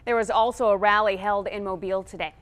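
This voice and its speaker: Mid-pitched woman anchoring the news. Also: steady tone, quick pace. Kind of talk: scripted news reading.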